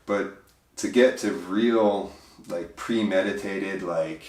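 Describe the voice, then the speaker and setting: A man with a big, deep voice, speaking as an interview guest in a reflective, hesitant way, full of fillers and restarts. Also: takes it slowly, darkish tone, recorded from a distance. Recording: roomy, voice from off mic.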